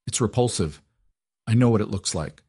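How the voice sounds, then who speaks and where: A man voicing a bitter, feverish duke who speaks quietly and bluntly, with a low growl. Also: lively intonation, moderate pace, breathy edge.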